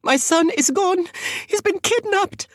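惊慌失措、声音尖高的母亲. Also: female voice, extremely high pitch, animated, unhurried, dry recording, clean studio sound, voice pushed up. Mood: panicked. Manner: crying out, pleading, distraught. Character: frantic hysterical mother of a kidnapped toddler.

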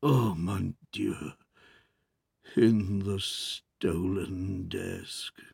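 A man's voice: fairly high pitch, very animated, measured pace, creaky, slightly rough, no echo, clean studio sound, pitched above the performer's own voice, exaggerated voice. A man reading aloud, voicing a panicked middle-aged French father who stammers in alarm. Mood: stunned, hushed. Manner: stammering, frantic.

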